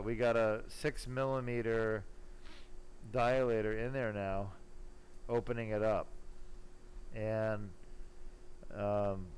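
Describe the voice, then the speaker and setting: A man working as a spine surgeon, giving casual, self-assured live commentary while he operates, pausing now and then mid-task. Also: on the low side, darkish tone. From born in Germany, later lived in California. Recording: clearly noisy operating room sound. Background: room noise and ambience.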